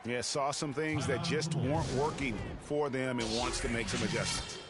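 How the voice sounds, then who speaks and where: Medium-pitched man as a candid basketball analyst. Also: normal speed, loud music behind the voice, close to an everyday voice. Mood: analytical. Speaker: color analyst. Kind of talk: conversational sports commentary.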